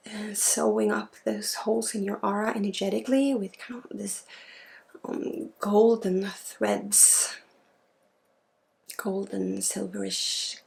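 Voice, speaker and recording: Slow, creaky Swedish woman doing healing ASMR. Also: medium pitch. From Sweden. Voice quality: breathy, often dropping into a whisper. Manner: unhurried, halting, with pauses mid-sentence. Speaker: spiritual healer and ASMR host. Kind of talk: spiritual ASMR, guided energy healing.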